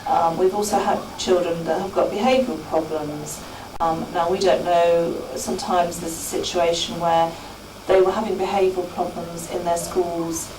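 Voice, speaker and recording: A woman educator giving an unscripted, hesitant and measured explanation in an interview. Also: lowish voice. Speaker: educator working with schoolchildren. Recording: roomy, distant microphone, background noise.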